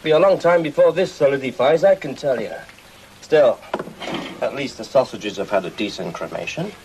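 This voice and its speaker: A man plays a middle-aged schoolteacher with a wry, sarcastic edge, his voice at an ordinary pitch. He is heard in old 1980s television location sound, with steady background noise around him. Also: moderate pace, close to an everyday voice, rough recording. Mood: sardonic. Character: dry, sardonic male schoolteacher on a school trip. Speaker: teacher.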